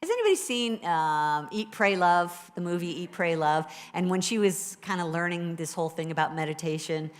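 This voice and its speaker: A woman minister with a low voice, preaching a sermon and leading a guided meditation in a conversational, encouraging way to a live congregation. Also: expressive, normal speed, hardly any pauses. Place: church sanctuary with a live congregation. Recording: live church recording with long reverb.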